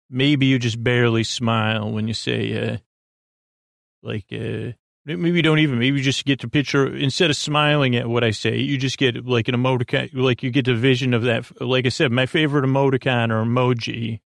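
A man hosting a sleep podcast, talking in a meandering, halting way with many fillers and restarts. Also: mid-range voice, vocal fry, no echo, clean studio sound. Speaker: podcast host. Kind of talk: rambling sleep podcast intro.

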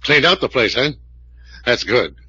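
An actor playing a businesslike, commanding American police captain in 1940s radio drama style. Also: male voice, medium pitch, lively intonation, unhurried, pushed and bright, slightly rough, no room sound, full character voice. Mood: brisk, satisfied. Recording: dull vintage radio recording.

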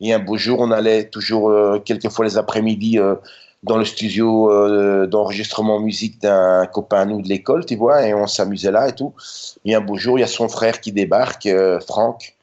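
A man in his fifties with a Belgian French accent and a somewhat low voice talks through his memories in a loose, rambling way, dropping in easy filler tags. The sound is slightly muffled and lo-fi, without much crispness at the top. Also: steady tone, normal speed, a little mellow. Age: 50s. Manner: rambling, chatty, frequent tag words. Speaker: podcast guest. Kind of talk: casual storytelling interview.

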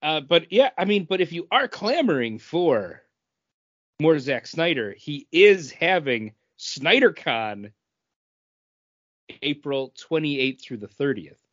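Guy on a podcast, talks slow n casual, dry kinda lo-fi mic. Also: on the high side, big pitch swings, fairly bright tone, no room sound. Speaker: podcast co-host. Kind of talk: casual pop-culture chat.

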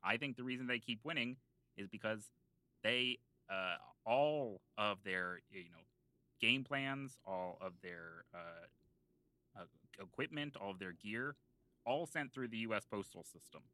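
Man, very bright sharp tone, dry close sound, halting, mock-earnest, improvised podcast banter. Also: fairly low pitch, animated, dry recording. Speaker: podcast co-host.

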